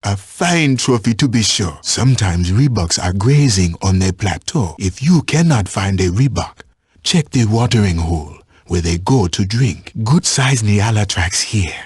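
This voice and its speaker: A watchful safari tracker guiding a hunt in a video game. His voice is very husky and fairly low, recorded dry and close. He instructs in formal, measured wording with an animated rise and fall. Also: no room sound, heavily acted. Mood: calm, watchful. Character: male African safari tracker, watchful, instructive hunting guide. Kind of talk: scripted video game voice lines.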